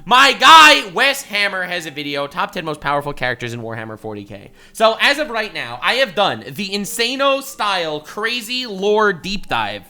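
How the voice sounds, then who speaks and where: Excitable young man with a bright voice. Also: sits high, normal speed, few pauses. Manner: animated, swings from shouting to mumbling, swears a lot. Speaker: streamer reacting to a video. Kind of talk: loud, excitable reaction commentary.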